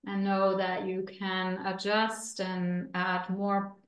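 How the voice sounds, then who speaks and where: A woman instructor leading a guided yoga relaxation in a soothing, gentle, deliberate way with long pauses between phrases. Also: medium pitch, completely flat delivery, echoey room.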